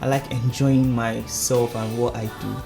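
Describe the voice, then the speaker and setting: A man, a singing contest finalist, telling stories in a playful, animated way on the radio, laughing often. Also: medium pitch, a little slow, creaky, music in the background. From Nigeria. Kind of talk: casual radio interview.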